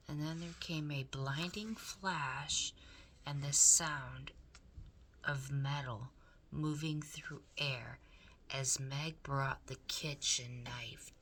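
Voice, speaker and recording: Lady reading a kids book aloud, lowish bright voice, really dramatic storyteller style. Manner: dramatic, addressing the listener directly. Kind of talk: expressive storybook narration for children.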